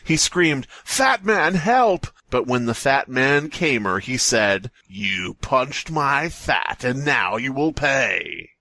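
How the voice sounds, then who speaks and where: A man's voice: medium pitch, sing-song, slow, hardly any pauses, pushed and bright, no echo, clean studio sound. A man hosting a comedy podcast, riffing casually and reading silly stories aloud in a jokey way. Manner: jokey, riffing, acts out bits. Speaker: podcast host.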